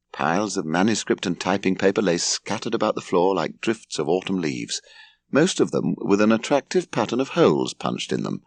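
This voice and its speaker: Male audiobook narrator, English accent: low-pitched, gravelly, highly expressive storytelling, recorded dry. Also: no echo.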